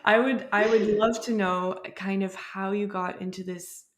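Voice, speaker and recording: A woman's voice: medium pitch, steady tone, a little slow. A woman hosting a podcast, reading an upbeat scripted introduction and then interviewing her guest. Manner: upbeat, enthusiastic. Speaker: podcast host.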